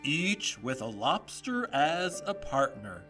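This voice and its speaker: An actor playing a bossy, excitable mythical beast in an audio drama, with an emphatic delivery. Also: mid-range voice, takes it slowly, over music. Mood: matter-of-fact.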